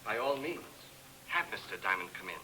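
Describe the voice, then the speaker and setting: An actor playing an unhinged, grandiose criminal in a 1950s radio drama, coldly polite yet prone to bitter ranting. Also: male voice, fairly low pitch, lively intonation, fairly quick, background noise, close to an everyday voice. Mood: menacing politeness. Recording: old 1950s radio broadcast recording.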